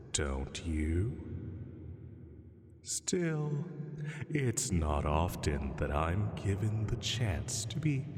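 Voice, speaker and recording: A man's voice: deep voice, a little mellow, heavily acted. A man playing a sly ancient dragon in an audio drama, condescending and menacing, drawing out his taunts theatrically. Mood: playful menace. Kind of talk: scripted roleplay audio drama monologue. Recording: long cavernous reverb.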